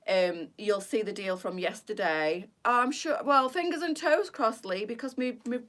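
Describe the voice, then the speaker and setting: A chatty Yorkshire woman hosts a fashion boutique's live stream, talking straight to her viewers. She rambles, teases and laughs easily, her voice pitched right in the middle for a woman. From Yorkshire, England.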